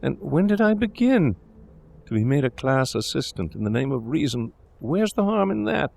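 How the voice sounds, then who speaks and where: Man playing an agitated young student, voice raised high. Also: very animated, normal speed, vocal fry, darkish tone. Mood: anguished. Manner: pleading, agitated. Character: distraught guilt-ridden young male medical student. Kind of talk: dramatic audiobook character reading.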